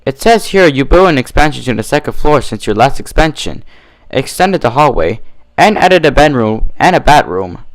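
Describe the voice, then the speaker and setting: A dry, officious cartoon stallion inspector, read by a male narrator, speaks in a measured, matter-of-fact way. The delivery is lively in pitch and closely recorded in a storybook reading. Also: no room sound, poor audio.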